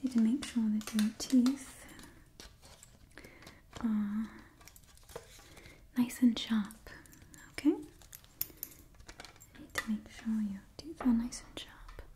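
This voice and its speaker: A woman in her twenties whispering an ASMR roleplay close to the microphone, breathy and repeating her phrases. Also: fairly high pitch, takes it slowly, long pauses, warm tone, a little hiss or hum. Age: 20s. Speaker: ASMR performer playing a monster party door checker. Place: small indoor setup before a brick backdrop. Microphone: close microphone, face near camera.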